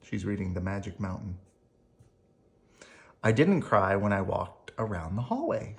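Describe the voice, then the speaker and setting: Children's read-aloud, slow pace, lively pitch swings, gentle bedtime delivery, spoken asides. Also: gravelly. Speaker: storyteller reading a children's picture book aloud.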